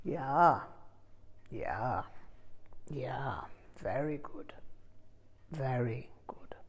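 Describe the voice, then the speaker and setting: A woman reading an audiobook, voicing an elderly male Tibetan Buddhist master who is wry, teasing and challenging. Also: low voice. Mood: quietly approving. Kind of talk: audiobook character dialogue.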